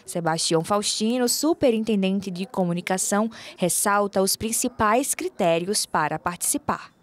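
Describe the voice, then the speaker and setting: A woman's voice: medium pitch, normal speed. A woman reporter narrating a scripted news story. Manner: clear even narration.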